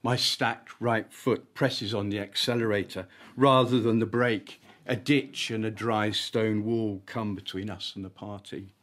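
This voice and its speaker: A man, an author reading his own short story at a live event, with deliberate, emphatic phrasing and comic timing. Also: fairly low pitch, fairly even intonation, a bit bright. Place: live literary event at an arts venue.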